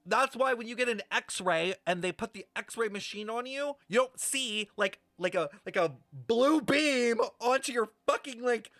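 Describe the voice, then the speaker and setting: A brash streamer rambles through an explanation in a casual, theatrical way, playfully scolding the chat with plenty of swearing. The pitch swings widely and the words are drawn out slowly. The room sounds echoey, with faint music behind. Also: expressive, a bit bright. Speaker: streamer reacting to a video game story.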